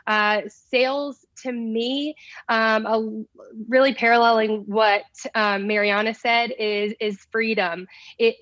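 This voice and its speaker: A woman's voice: a little high, a bit bright, no room sound. A woman from South Carolina introducing herself on a video call, hesitant with many ums. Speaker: recruiter. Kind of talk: casual self-introduction. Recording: dull dry call audio.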